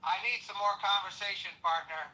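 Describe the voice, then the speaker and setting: Male voice, baffled cowboy chatbot: high, sharp, rambling drawl with endless questions. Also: fairly even intonation, a little fast, rough recording. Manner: drawling, calls everyone partner, rambling questions.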